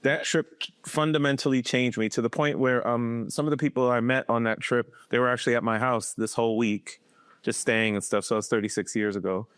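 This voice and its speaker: Male guest, 50s, from Boston; measured storyteller, smooth tone, mid pitch. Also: fairly even intonation, fairly bright tone, no room sound, clean studio sound. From Boston, USA. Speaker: podcast guest. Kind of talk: casual conversational interview.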